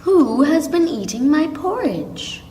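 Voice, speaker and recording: A woman's voice: sits high, very animated, slow pace, mellow, roomy sound, in a large hall, distant mic, slight background noise, voice pushed up, heavily acted. A woman voicing a grown mother bear in a storybook, asking in a raised, emphatic voice. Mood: questioning. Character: mother bear, grown female, even-tempered.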